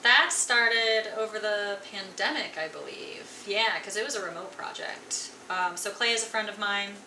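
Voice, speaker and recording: A woman's voice: medium pitch, normal speed. Brief: young woman from Colorado, easygoing interview guest, filler-heavy, recorded far from the mic with background noise. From Colorado, USA. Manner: relaxed, filler-heavy, frequent "like". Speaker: guest musician. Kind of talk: casual interview conversation. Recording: echoey room, distant mic, noisy.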